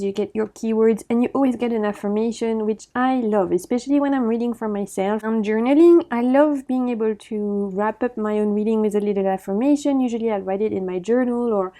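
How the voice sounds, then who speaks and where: A woman chatting enthusiastically about her oracle decks, her voice slightly croaky from a recovering sore throat, laughing often as she talks. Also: on the high side, mellow. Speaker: oracle deck vlogger. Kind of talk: casual chatty deck review.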